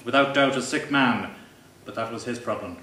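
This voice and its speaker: A man giving a live comic reading from his novel in a pompous, deadpan, mock-grandiose manner. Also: medium pitch, normal speed. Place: live event venue. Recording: roomy, distant live recording with faint noise.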